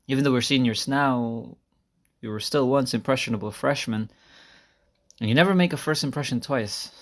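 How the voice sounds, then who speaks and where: A man playing a shy, earnest high school senior in an intimate roleplay, talking softly and hesitantly to his crush. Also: normal speed, lightly acted. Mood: wistful, sincere. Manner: soft-spoken, hesitant, conversational with sighs and small laughs. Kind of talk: intimate roleplay audio monologue to a listener. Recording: amateur recording without a proper microphone.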